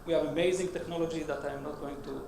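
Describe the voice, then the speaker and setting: A man with a Hebrew accent presents his startup on a conference stage, his voice sitting a little high. He talks in halting bursts with frequent uh fillers and restarts. The sound carries a strong hall echo over clear background noise. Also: fairly even intonation. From Israel. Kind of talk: conference pitch. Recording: echoey hall with noisy background.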